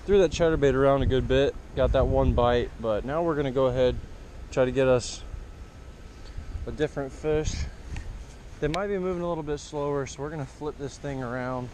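Young man, fishing vlogger, excited chatter, head camera outdoors, light wind noise. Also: medium pitch, a little fast. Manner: excited, laughing, talks to viewers as boys and girls. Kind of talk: casual fishing commentary. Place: grassy canal and pond bank outdoors. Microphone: head-mounted action camera.

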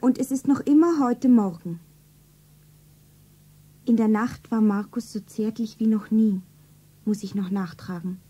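An actress playing a lovelorn, naive young shopgirl, plaintive and earnest, with a soft breathy voice in a radio drama. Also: on the high side, unhurried, long pauses, faint music behind, natural voice. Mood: wistful, wounded. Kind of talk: stylised radio drama, self-narrating.

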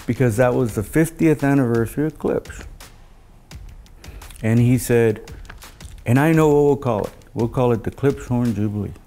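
An older man telling stories in a casual, halting way, as an engineer looking back in an interview. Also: mid-range voice, mellow, soft background music. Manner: halting, anecdotal storytelling with quoted dialogue. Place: living room of a home, indoors.

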